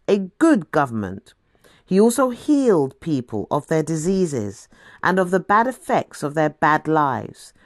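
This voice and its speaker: A woman reading scripture as a dramatic storyteller, deliberate, with emphatic pauses. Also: low-pitched, dry recording, clean studio sound. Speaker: scripture reader. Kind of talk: expressive scripted Bible narration.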